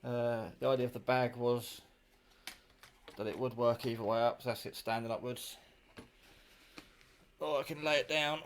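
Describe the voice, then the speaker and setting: A man's voice: mid-range voice, fairly even intonation, normal speed, pauses often. A man giving a casual hands-on show-and-tell of his hobby kit, offhand and muttering to himself as he works, recorded on a handheld camera. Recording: clear background noise, clicks of handling.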